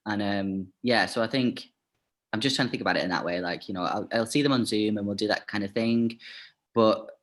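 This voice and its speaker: A man's voice: on the low side, brisk. A man chatting as an interview guest, talking casually with lots of fillers and "like".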